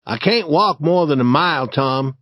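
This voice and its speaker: A man's voice: a little high, lively intonation, moderate pace, fairly bright tone, dry recording, studio quality, pitched above the performer's own voice. A man reading a story, voicing a nervous, superstitious young boy who hesitates and stammers. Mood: wary.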